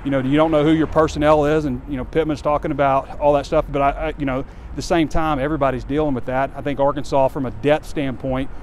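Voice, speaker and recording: A man's voice: a little high, steady tone, fast talker, few pauses, a little mellow. A man in his forties giving candid, rambling sports commentary while walking outdoors, recorded on a handheld phone. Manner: candid, conversational, rambling with fillers. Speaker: sports site publisher. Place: outdoors on a campus street near a stadium. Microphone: phone camera held close while walking. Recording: faint outdoor street noise.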